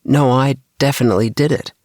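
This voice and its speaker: A man's voice: medium pitch, steady tone, fairly quick, a little mellow, dry recording, clean studio sound, voice pushed up, natural voice. A man voicing an earnest, defensive child inventor with an insistent way of talking, in a children's audiobook. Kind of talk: children's audiobook character dialogue.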